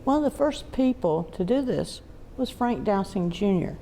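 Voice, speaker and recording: A woman giving an unscripted museum tour, telling stories in halting, emphatic phrases. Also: medium pitch, expressive, dark tone, a little hiss or hum. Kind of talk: unscripted guided tour talk. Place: inside a museum.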